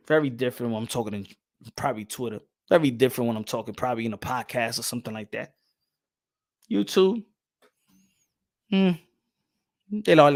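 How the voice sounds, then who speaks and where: A young adult man hosting a casual boxing livestream, animated and repeating his phrases as he reads out the chat. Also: medium pitch. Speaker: boxing channel host.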